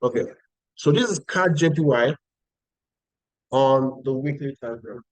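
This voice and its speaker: A man's voice: medium pitch, measured pace, no room sound, low-quality recording. A Nigerian-accented man hosting a live trading stream, talking viewers through charts and answering their chat. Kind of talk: live-stream market analysis.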